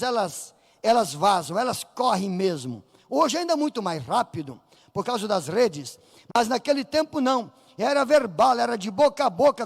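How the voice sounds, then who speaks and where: A man with a Brazilian Portuguese accent preaching a Pentecostal sermon in church, fervent and rising to shouts as he acts out voices from the story. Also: very high-pitched, vocal fry. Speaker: pastor. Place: church sanctuary. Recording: reverberant church hall.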